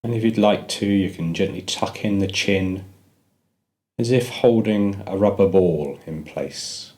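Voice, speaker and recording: A man narrating a guided meditation, giving gentle, measured instructions with pauses between phrases. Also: deep voice.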